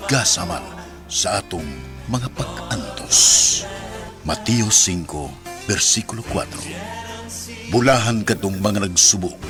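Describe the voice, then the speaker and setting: A man with a Cebuano Filipino accent narrating a radio drama in a solemn, scripted, moralizing style. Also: on the low side. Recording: radio narration over clear music.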